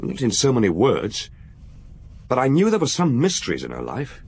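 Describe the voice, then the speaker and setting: A young actor playing an earnest, hesitant junior police detective in a radio drama. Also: male voice, mid-range voice, huge pitch swings, moderate pace, darkish tone, husky, exaggerated voice. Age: young adult.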